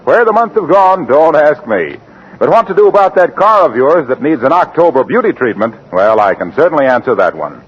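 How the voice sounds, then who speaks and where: A man's voice: on the high side, big pitch swings, fairly quick, husky. An American man announcing a scripted advertisement in 1940s radio style. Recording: muffled, noisy 1940s radio recording.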